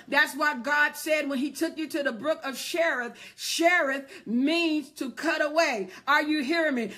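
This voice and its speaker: Woman preacher, high-pitched, forceful, fervent sermon delivery, repetitive exhortation. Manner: exhorting, repetitive with call-and-response refrains.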